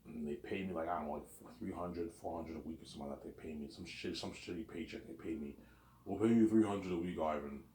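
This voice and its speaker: Man, 30s, very low voice, rambling monologue, reverberant room. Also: fast, mellow, a little hiss or hum. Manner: rambling, repeats himself, acts out both sides of imagined talks. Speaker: vlogger. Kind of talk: casual monologue to camera.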